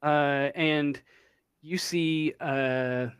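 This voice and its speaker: A man running a tabletop horror game as game master, narrating with many pauses and fillers. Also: a little high, fairly even intonation, clean tone, no echo, studio quality. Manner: halting, many ums and uhs. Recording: online voice chat.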